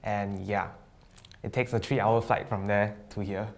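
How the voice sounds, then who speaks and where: A young man from Sabah, a student guest chatting casually on a live stream, relaxed and jokey with slang and hesitant fillers. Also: on the low side, unhurried. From Sabah, East Malaysia. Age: young adult.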